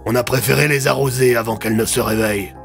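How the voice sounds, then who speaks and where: A man playing a decisive army colonel in an audio drama, gruff and curt, giving slangy orders. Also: medium pitch, steady tone, fast talker, faint music behind, natural voice. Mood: matter-of-fact.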